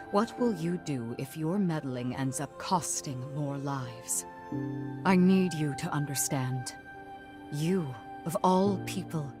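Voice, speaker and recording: A woman playing a stern, composed noblewoman and mentor in a video game, speaking formally and with authority. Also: deep voice. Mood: stern, admonishing. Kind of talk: video game cutscene acting.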